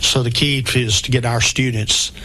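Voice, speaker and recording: A man's voice: medium pitch, steady tone, moderate pace, bright, forceful tone, a little hiss or hum, rough recording. A man hosting a local radio talk show. He speaks in a folksy, rambling way with homespun grammar. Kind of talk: casual chat interview.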